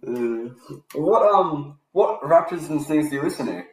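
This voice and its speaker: A man's voice: fairly high pitch, animated, unhurried, roomy sound, poor audio. An Australian man hosting a podcast, chatting in a laid-back, jokey way and steering the talk with questions. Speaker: podcast host. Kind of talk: casual chat.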